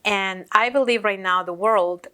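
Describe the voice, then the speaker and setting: A woman from Peru who runs a roofing company speaks in an interview. Her voice sits at a middle pitch, and she speaks earnestly and reflectively. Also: a little slow, bright, forceful tone, clean tone. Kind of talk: interview to camera.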